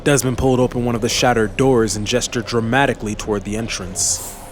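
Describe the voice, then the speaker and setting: A man's voice: mid-range voice, fairly even intonation, a little fast. Male storyteller, middle register, scripted fantasy audiobook narration, light music bed.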